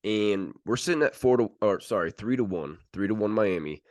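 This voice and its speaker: Low deep guy voice, chatting sports casually like a podcast cohost. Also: expressive, moderate pace, darkish tone, dry recording, clean studio sound.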